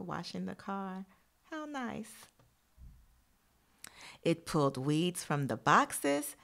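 A woman's voice: on the low side, creaky, fairly bright tone. A woman teacher reading a picture book aloud expressively to young children, adding encouraging asides addressed straight to them.

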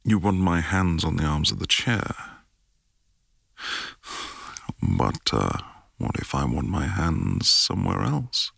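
A man playing an affectionate, playful young boyfriend in intimate ASMR roleplay, murmuring breathily with lingering hums and pauses, close to the mic. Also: low voice, very animated, creaky, fairly bright tone, husky, no room sound, full character voice. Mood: teasing, amorous. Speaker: audio roleplay performer. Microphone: close, dry microphone.

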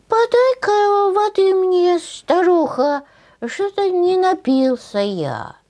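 A woman's voice: very high voice, sing-song, takes it slowly, a little mellow, voice pushed up, full character voice. A woman voicing a crafty, bossy old peasant man in a folk tale, calling out demands. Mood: demanding.

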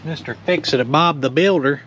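A man's voice: normal speed, a bit bright. A man in his thirties chatting casually in short, offhand remarks while sorting through boxes. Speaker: storage unit reseller. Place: open storage unit doorway, outdoors. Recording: noisy outdoor camera audio, vehicle rumble.

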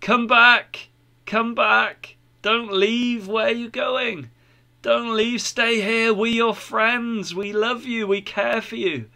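A man's voice: extremely high pitch, monotone, slow, creaky, sharp tone, clear, resonant tone, pitched above the performer's own voice, heavily acted. A man voicing clamoring townsfolk and children in a storybook tale, calling out and begging someone to stay. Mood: pleading. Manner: pleading, calling out.

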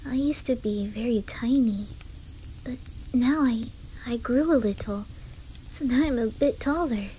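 A woman voicing a shy, curious nine-year-old boy in an ASMR roleplay, with an attempted Swedish accent and a soft, often whispered, hesitant delivery. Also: fairly high pitch, a little hiss or hum, lightly acted, poor audio. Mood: shy, gentle. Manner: hesitant, stammering, slow.

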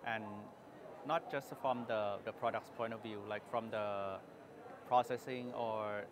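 A man in his thirties speaks English with a Thai accent in a low register, pausing with fillers and restarting phrases as he explains his work. The recording carries clear background noise from a busy exhibition hall. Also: fairly even intonation. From Thailand. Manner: hesitant, many fillers and restarts. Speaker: company executive interviewee. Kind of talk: trade show interview. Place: exhibition hall booth, indoors.

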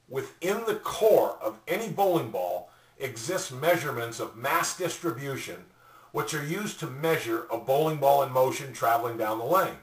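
An older man giving a scripted product explainer in a deliberate, formally worded way. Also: medium pitch, a bit bright. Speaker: presenter. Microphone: webcam a little way off. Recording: roomy echo, distant mic.

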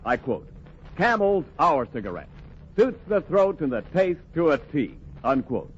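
Animated 1940s radio ad announcer, a man. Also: fairly high pitch, unhurried, slightly rough. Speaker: commercial announcer. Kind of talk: 1940s radio advertisement, scripted. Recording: dull 1940s radio recording.

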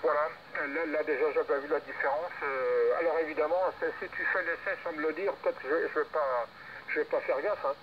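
A man's voice: fairly high pitch, steady tone, brisk. A man heard over radio, chatting casually in a rambling, repetitive, hesitant way. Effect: radio transmission. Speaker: amateur radio operator. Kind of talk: casual radio contact chat. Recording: distant muffled radio speaker, very noisy. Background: loud radio and outdoor noise.

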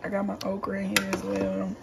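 A young woman with a hoarse, raspy voice chatting casually to viewers while she cooks in her home kitchen. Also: mid-range voice, monotone, brisk, heavy background noise. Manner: chatty, talks straight to viewers. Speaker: vlogger. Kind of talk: casual cooking vlog. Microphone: phone camera held close.

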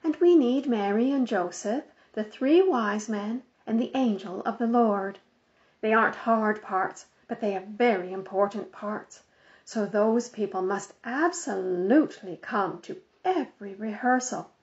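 A woman reading a storybook as a brisk, firm mother instructing children, on a slightly dull recording. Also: mid-range voice, natural voice.

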